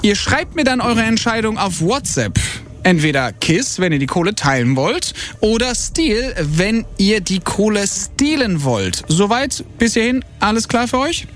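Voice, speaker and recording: Animated high-pitched man hosting radio. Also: unhurried. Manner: upbeat, informal, repeats words. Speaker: radio host. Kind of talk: live radio game-show hosting. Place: radio studio. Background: music bed.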